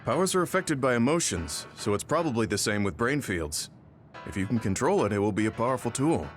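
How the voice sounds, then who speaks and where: Level-headed male teammate played by a man, video game cutscene, measured, varied intonation, music underneath. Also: medium pitch, sing-song, natural voice. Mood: thoughtful.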